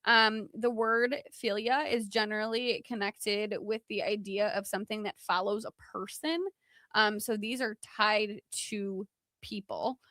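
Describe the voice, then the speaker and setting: A woman's voice: medium pitch, steady tone, unhurried, a bit bright, no room sound. A woman co-hosting a podcast, explaining folklore from notes in an informal, chatty way. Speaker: podcast co-host.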